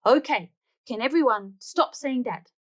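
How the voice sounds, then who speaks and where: A woman's voice: fairly high pitch, animated, a little slow, voice pushed up. A woman voicing a playful, laid-back cartoon dog dad in a storybook, exclaiming in a casual way. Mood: exasperated.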